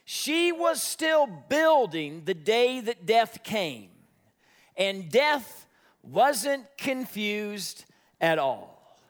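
Man, fifties, American accent, high voice, conversational preacher, folksy, joking, repetitive emphasis. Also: very animated, very slow. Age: 50s. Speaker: pastor. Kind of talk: conversational sermon to a congregation. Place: church before an audience.

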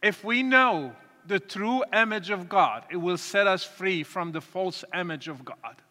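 A man from Morocco, giving an earnest, deliberate testimony to an audience, with pauses and restarts. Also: high-pitched, pushed and bright. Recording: reverberant hall.

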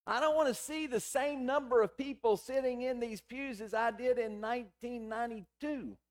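A middle-aged man with a hoarse, raspy voice, preaching a sermon in a conversational, storytelling way with quoted dialogue. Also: very high-pitched, normal speed. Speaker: pastor.

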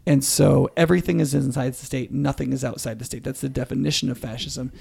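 A man's voice: medium pitch, steady tone, fairly quick, gravelly, darkish tone, husky. A middle-aged American man hosting a podcast interview, explaining things in a conversational way.

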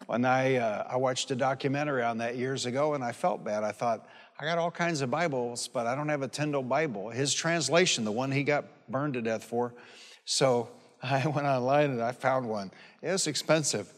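A man in his late sixties delivers a sermon as a pastor. His voice sits at a medium pitch and his manner is folksy and emphatic, with repeated phrases. The long reverb of a large hall surrounds his words. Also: normal speed. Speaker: pastor preaching. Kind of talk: sermon with personal anecdotes and calls for amen. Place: large hall or church, preaching to a congregation.

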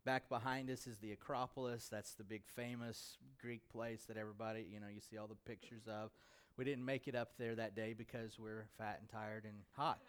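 Smooth-voiced American pastor, conversational. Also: male voice, fairly low pitch, little pitch movement, moderate pace, hardly any pauses. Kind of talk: informal church presentation of a mission trip.

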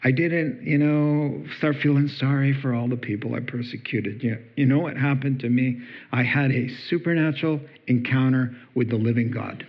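A man's voice: mid-range voice, steady tone, moderate pace, dull audio, close to an everyday voice. A man voicing a converted man who insists earnestly on his story. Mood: insistent.

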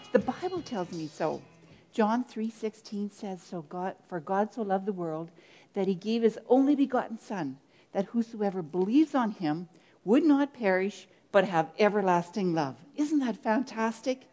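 A woman's voice: medium pitch, darkish tone, natural voice. A woman doing a gushing hippie churchgoer. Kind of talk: church comedy sketch.

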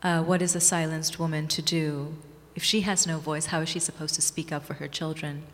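A woman from Bangladesh, an author speaking as an interview guest in a small community gathering, reflective, measured and earnest. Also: low voice, fairly even intonation. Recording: long reverb in a hall.

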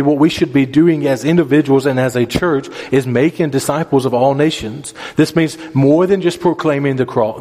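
A man's voice: medium pitch, a little mellow. A man preaching a sermon, explaining scripture verse by verse in an earnest, instructive manner with repeated phrases for emphasis. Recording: reverberant hall.

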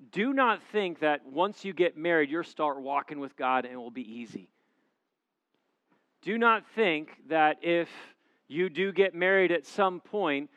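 A man's voice: a little high, fairly bright tone, no room sound, lo-fi sound. A male pastor preaching a sermon to a congregation, with an emphatic, repetitive preaching cadence. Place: church auditorium before a live congregation.

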